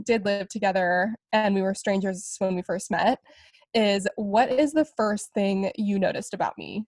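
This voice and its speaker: A woman's voice: mid-range voice, darkish tone, no echo. A young woman in her twenties co-hosting a podcast, chatting casually with fillers and self-deprecating asides. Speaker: podcast co-host. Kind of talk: casual chat.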